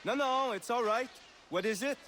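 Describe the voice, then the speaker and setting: A man's voice: extremely high pitch, moderate pace, a bit bright, a little hiss or hum. An actor playing an eager, lovestruck frontiersman with a French accent in a video game. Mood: hesitant, flustered. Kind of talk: video game cutscene acting.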